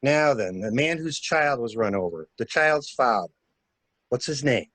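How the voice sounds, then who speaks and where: A man's voice: medium pitch, expressive, a little slow, a bit bright, no echo. A man in a dramatic mystery reading, playing a shrewd stranger who questions a widow with wry, insistent probing. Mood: probing, curious. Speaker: library staff reader.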